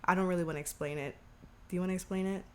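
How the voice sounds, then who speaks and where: A woman's voice: on the low side, racing. Slightly nasal young woman co-hosting. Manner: lively, laughs a lot. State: recovering from allergies. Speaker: podcast co-host. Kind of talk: casual chat.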